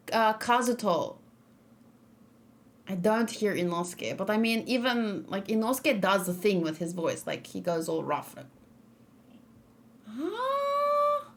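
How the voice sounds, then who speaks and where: A woman's voice: on the high side, a bit bright. A young woman chatting casually on a live stream, thinking aloud and reading out chat messages as she reacts to game trailers.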